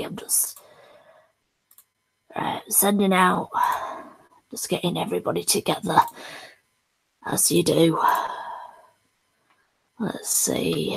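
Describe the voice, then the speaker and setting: A woman streamer chatting casually with supporters, hoarse from losing her voice, talking haltingly with whispered asides. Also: on the low side, low-quality recording. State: hoarse, has lost her voice. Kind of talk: casual chat.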